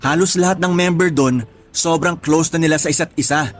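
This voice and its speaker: A man's voice: a little high, normal speed, close to an everyday voice. A man with a Filipino accent acting a practical, teasing friend of twenty-seven in colloquial radio drama dialogue. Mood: casual, informative. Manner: colloquial, bantering. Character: 27-year-old male friend, teasing, practical, slightly older.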